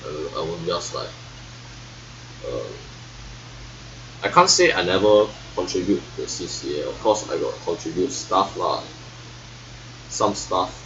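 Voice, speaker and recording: Singaporean teen guy vlogging, slow hesitant rambling, kinda muffled lo-fi mic. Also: deep voice, big pitch swings. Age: late teens. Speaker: vlogger reviewing school life. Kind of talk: casual rambling vlog monologue. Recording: dull, lo-fi sound with faint noise.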